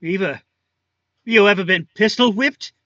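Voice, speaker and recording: An actor playing a violent jealous husband in a 1950s-style radio crime drama, menacing and brutal. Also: male voice, high-pitched, measured pace, sharp tone, no echo, dull audio. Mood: enraged.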